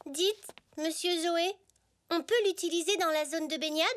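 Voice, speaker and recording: An actor voicing a cheerful young zebra boy in a children's cartoon, excitable and playful, speaking French. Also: very high voice, moderate pace. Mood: excited. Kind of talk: animated children's cartoon dialogue, French dub. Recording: music behind the dialogue.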